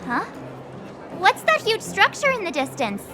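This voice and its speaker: A woman's voice: extremely high pitch, huge pitch swings, a little slow, heavily acted. A woman voicing a spirited, inquisitive, outspoken teenage girl adventurer in a video game. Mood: curious. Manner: perky, blunt, curious. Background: game music.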